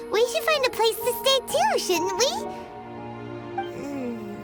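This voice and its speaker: A tiny fairy sidekick for a video game, squeaky and extremely high-pitched. The childlike voice swings up and down in a lively, curious way, lingering over words, with music strong behind it. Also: female voice, heavily acted. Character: tiny chatty childlike female fairy companion, curious, food-loving. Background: prominent game music.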